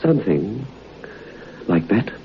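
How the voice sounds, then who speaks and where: An actor playing a sociable, matter-of-fact gentleman chatting in a clubby way in an old radio drama. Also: on the low side, big pitch swings, slow pace. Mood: awkward. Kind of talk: 1960s radio drama acting. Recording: dull, hissy old radio recording.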